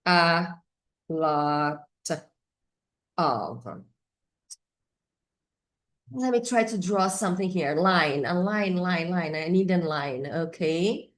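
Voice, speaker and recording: A middle-aged Brazilian woman, a native Portuguese speaker, teaches English grammar informally with a Brazilian accent, thinking aloud haltingly and repeating key words. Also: fairly low pitch. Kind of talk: informal whiteboard grammar lesson.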